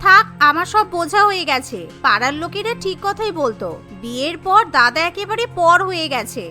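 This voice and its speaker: A woman's voice: very high-pitched, lively intonation, brisk, sharp tone, faint music behind, full character voice. A woman voicing a spiteful, jealous young college-age sister-in-law in a cartoon family story, sulky, taunting and manipulative. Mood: sulky, hurt. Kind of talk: animated family story dialogue.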